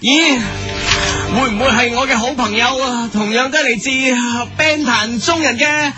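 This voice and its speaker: Brash young band leader, high voice, Hong Kong accent, emphatic exclamations, comic radio host, music behind. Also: male voice, fairly even intonation, a little slow. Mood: enthusiastic. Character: brash, boastful young male band leader hosting youth show. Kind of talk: comic radio sketch presenting.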